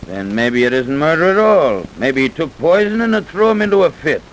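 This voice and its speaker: An actor playing a plain-spoken, sceptical police officer with folksy speech in an old radio drama. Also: male voice, a little high, big pitch swings, a little fast, rough recording. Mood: sceptical, matter-of-fact. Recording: hissy old radio recording.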